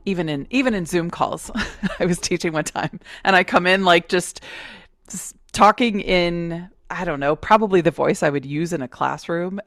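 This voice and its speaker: A woman hosting a podcast, talking casually in a loud, outspoken voice. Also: low-pitched, vocal fry, dry recording, studio quality. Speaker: podcast host. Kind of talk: casual conversation.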